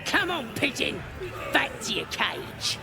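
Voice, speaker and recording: A woman's voice: on the high side, sing-song, takes it slowly, vocal fry, music in the background, exaggerated voice. An actress voicing a mocking, suspicious goblin guard woman.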